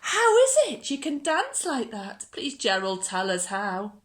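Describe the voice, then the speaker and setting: A woman voicing brash, excitable jungle animals from a children's storybook. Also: fairly high pitch, measured pace, heavily acted. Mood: amazed.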